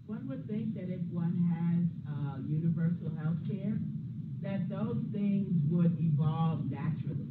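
A middle-aged woman with a big, forceful voice, answering as a panelist from a union background. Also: on the low side, measured pace, heavy background noise. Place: conference room with a panel table. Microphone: handheld mic. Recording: tinny phone-like sound.

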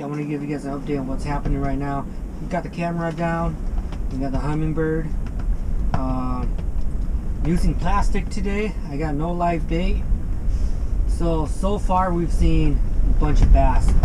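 A man's voice: fairly high pitch, noisy background. A man in his thirties chatting casually to camera from a small ice fishing shanty, laid-back and chatty, dropping "dude" and "man.". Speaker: fishing vlogger. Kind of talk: casual vlog talk to camera. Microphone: action camera mounted inside the shanty. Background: background music.

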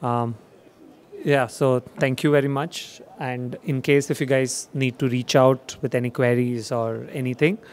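A man's voice: mid-range voice, steady tone, a little slow. A young man presenting his company at a trade show demo theater, hesitant with many fillers. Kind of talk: trade show sales pitch. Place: dark expo demo theater.

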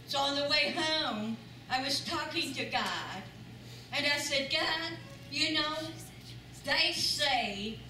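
A woman's voice: sits high, bright, forceful tone. An older woman gives an earnest, emphatic testimony in a church, repeating phrases for weight. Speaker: church member giving testimony. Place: large church sanctuary with audience. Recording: echoey sanctuary, voice from far off, noisy.

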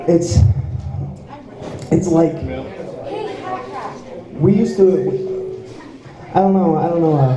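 A young man doing a rambling, self-mocking stand-up comedy set on a small club stage, speaking into a handheld mic. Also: on the high side. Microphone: handheld mic, heard from the audience. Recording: echoey club with audience noise. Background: audience.

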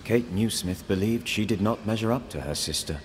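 An actor playing a solemn storyteller in a video game, narrating gravely and deliberately with clear noise behind him. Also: male voice, close to an everyday voice. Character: solemn male storyteller passing judgement on fates. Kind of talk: scripted dramatic game narration. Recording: clear background noise and rumbling ambience.

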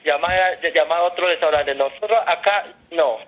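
A man's voice: a little high, normal speed. A man with a Chinese accent answering a phone call, curt, speaking in broken grammar. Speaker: restaurant worker answering phone. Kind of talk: phone conversation. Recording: slightly noisy phone call.

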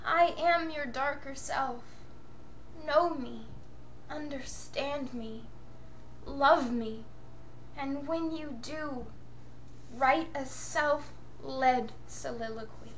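A woman poet voicing her own passionate, wounded dark inner self, accusing and declamatory in old-fashioned diction. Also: high-pitched, very slow, long pauses, dull audio, slight background noise, heavily acted. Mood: pleading, subdued. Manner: theatrical, declamatory, archaic diction.

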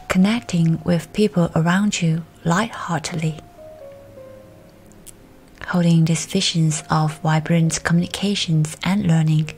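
A woman reading a scripted guided meditation in a soft, soothing, breathy delivery. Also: low voice. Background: music.

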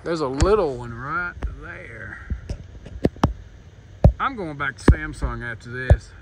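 A man's voice: animated, slow. A man who drives trucks, talking casually to viewers in a folksy, informal way while filming outdoors on a phone. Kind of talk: casual vlog narration to viewers. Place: outdoors on a roadside at night. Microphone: handheld phone. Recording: clear outdoor vehicle noise behind voice. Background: rumbling truck engine.

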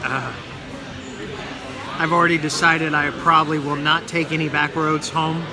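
A man's voice: fairly high pitch, little pitch movement, moderate pace, creaky, a crowd in the background. A man in his thirties vlogging casually about his meal in a busy sports bar, talking between bites into a phone held at arm's length. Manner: rambling, talks between bites with mouth full. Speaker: food vlogger. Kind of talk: casual vlog commentary while eating.

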